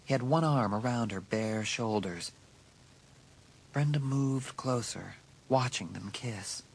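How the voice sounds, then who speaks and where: A man with a husky voice giving suspenseful, scripted narration of a dramatised audiobook. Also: mid-range voice, slow pace, a little hiss or hum, poor audio. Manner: suspenseful storytelling.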